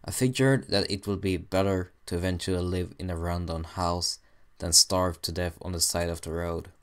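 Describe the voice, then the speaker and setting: A man's voice: low-pitched, steady tone, normal speed, clear, resonant tone. A man reading a fan fiction story aloud in first person, hesitant and stumbling at times. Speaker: amateur story reader.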